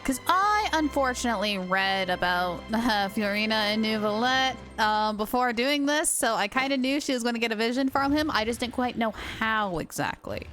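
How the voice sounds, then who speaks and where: Excitable woman game streamer over music. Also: fairly high pitch, moderate pace, hardly any pauses. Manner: excitable, gushing, chatty. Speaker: game livestreamer. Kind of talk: casual live stream commentary and chat.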